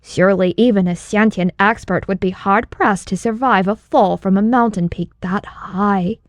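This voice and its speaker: Female audiobook narrator, medium pitch, scripted storytelling, dry close recording. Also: moderate pace, dry recording.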